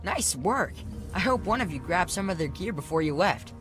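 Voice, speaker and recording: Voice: mid-range voice, animated, normal speed, over music, natural voice. A performer playing a cocky, chatty child trader in a video game, brash, slangy and casual. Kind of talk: video game character acting.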